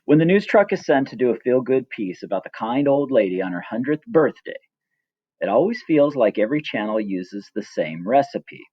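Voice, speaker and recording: A middle-aged man reading his own personal story as scripted narration, reflective, measured and gently wry. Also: mid-range voice, very animated, slightly rough, dry recording, muffled recording.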